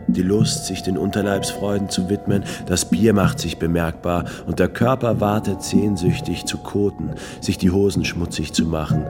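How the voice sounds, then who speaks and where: Male narrator, very low register, declamatory literary reading set to music.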